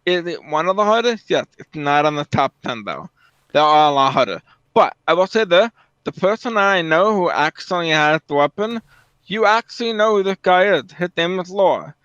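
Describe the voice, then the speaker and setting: A man with a fairly high, smooth voice talks casually and matter-of-factly about games. The recording is dull and lo-fi, and dry with little room sound. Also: animated, moderate pace, a bit bright, dry recording. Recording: dull, lo-fi voice track.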